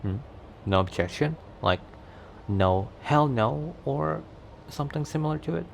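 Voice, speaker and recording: Man acting as cocky male werewolf, ASMR roleplay, very slow pace, teasing and flirty, noisy ambient background. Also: on the high side, animated, gravelly. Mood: tender, playful. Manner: teasing, chuckling between phrases.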